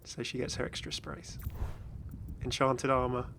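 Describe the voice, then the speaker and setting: A man's voice: medium pitch, little pitch movement, a little slow, creaky, pushed and bright, slight background noise. A man giving casual, chatty commentary as host of a gaming video. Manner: chatty, frequently chuckling.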